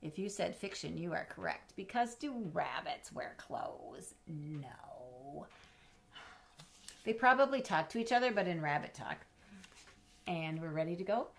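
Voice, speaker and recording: A middle-aged woman with a slightly low voice reads a children's story aloud. She is expressive and teacherly, moving between storybook narration and simple questions or explanations for young listeners. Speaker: storyteller reading a picture book. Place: small indoor home room with bookshelves.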